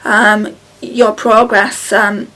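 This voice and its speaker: A woman in her thirties chatting to camera at home, her voice hoarse and croaky from illness, tired and halting with many ums. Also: mid-range voice, level tone, bright, forceful tone, low-quality recording. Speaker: beauty vlogger. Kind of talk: casual chat to camera. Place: small room at home, indoors. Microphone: camera close in front.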